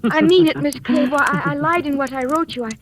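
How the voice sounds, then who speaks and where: A woman's voice: high-pitched, fairly quick, close to an everyday voice. An actress playing an earnest, timid woman in her twenties, hesitant and stammering, in 1940s radio style. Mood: anxious confession. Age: 20s. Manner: hesitant, breathless stammering, eager. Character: earnest, timid young woman of twenty-five seeking work. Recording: noisy old 1940s radio recording.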